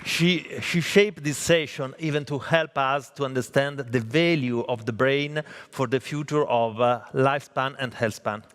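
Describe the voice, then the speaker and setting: A man's voice: medium pitch, measured pace, hardly any pauses, a bit bright. A man with an Italian accent moderating a conference session, hesitant and full of fillers and restarts. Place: conference hall.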